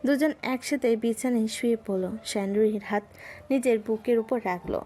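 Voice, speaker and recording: A woman narrating a romantic audio story in a gentle, scripted storytelling style. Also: fairly high pitch, a little fast. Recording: music bed underneath.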